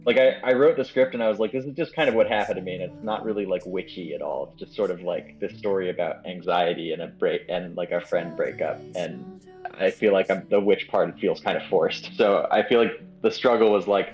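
A man's voice: deep voice, a little fast, a little mellow. A man talking casually as a writer in an online interview, hesitant and often saying "like". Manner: hesitant, frequent "like". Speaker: game writer being interviewed. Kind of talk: casual developer Q&A. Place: online voice call. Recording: dull lo-fi voice call. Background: faint plucked guitar music.

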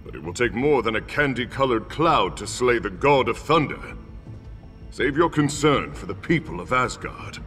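A man's voice: medium pitch, animated, moderate pace, fairly bright tone, natural voice. An actor voicing a proud male warrior god who speaks in a grand, declamatory way, in a game cutscene. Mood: resolute. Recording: game cutscene, reverberant, music.

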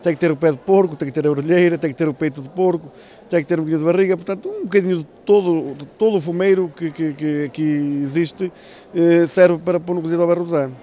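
A man's voice: a little high, fairly even intonation, quick pace, mellow. A middle-aged man, a producer reeling off a list in an interview. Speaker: smoked-meat producer. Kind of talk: rapid listing in an interview. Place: indoor fair stall. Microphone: handheld interview microphone.

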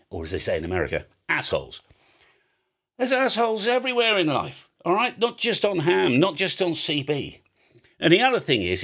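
British male video host, highly varied intonation, casual explainer with an emphatic, blunt, rambling delivery. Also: a bit bright. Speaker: amateur radio video host.

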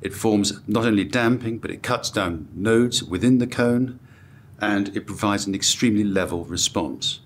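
Low-voiced older British man presenting. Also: normal speed, a little hiss or hum. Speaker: company director presenting products. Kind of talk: informative product walkthrough. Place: hotel room at an audio show.

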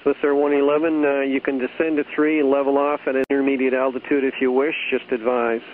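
A man's voice: mid-range voice, level tone, fairly quick. A man working as an air traffic controller, giving matter-of-fact procedural instructions over the radio. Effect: radio transmission. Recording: tinny archived radio with light static.

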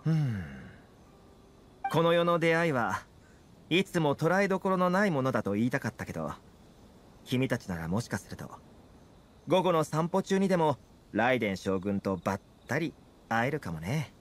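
A man voicing an easygoing, confident young fixer in a Japanese video game, talking smoothly and persuasively. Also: medium pitch, big pitch swings, moderate pace, long pauses, a little hiss or hum, natural voice. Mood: composed, persuasive. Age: young adult.

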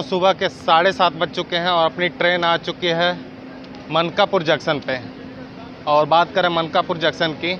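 A man's voice: fairly high pitch, muffled recording, background noise. A man in his thirties narrating a train travel vlog, listing station facts in an upbeat guide-like way, filmed on a phone at arm's length outdoors. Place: outdoors on railway platforms and at a moving train door. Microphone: phone camera at arm's length.

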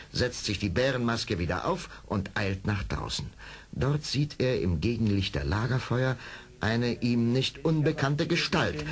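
A man narrating a radio play as a dramatic storyteller, with a husky voice. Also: medium pitch.

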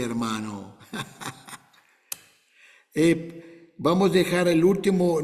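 An older man hosting a video call, speaking Spanish in a gentle, unhurried, pastoral way. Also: fairly high pitch, long reverb tail. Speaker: host.

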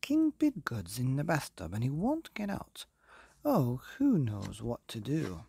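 Man putting on a frantic, high-pitched young page. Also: huge pitch swings, unhurried, creaky, dark tone, husky, no room sound, studio quality, full character voice. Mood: panicked, pleading. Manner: panicked, pleading. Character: frantic young male royal page crying for help.

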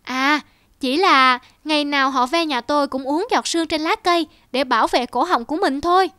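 Tiny high-pitched cartoon cicada. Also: female voice, moderate pace, bright, forceful tone, dry recording, clean studio sound. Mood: explaining. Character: small singing cicada, frank and self-assured. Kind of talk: cartoon fable voice acting. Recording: music under the voice.

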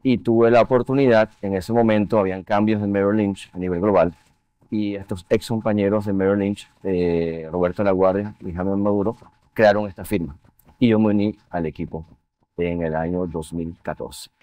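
A man, a guest in a studio TV interview, speaking in a measured, reflective way with frequent fillers. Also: lowish voice, fairly even intonation, warm tone, no room sound. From Venezuela. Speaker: interview guest, finance executive. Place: TV studio set. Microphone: lapel mic.

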